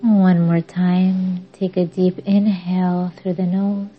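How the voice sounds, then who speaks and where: Slow, husky woman guiding meditation. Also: on the low side, level tone. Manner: measured, soothing, instructing with long pauses. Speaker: meditation podcast host and guide. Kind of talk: slow guided yoga nidra meditation. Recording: dull lo-fi audio under music. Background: music.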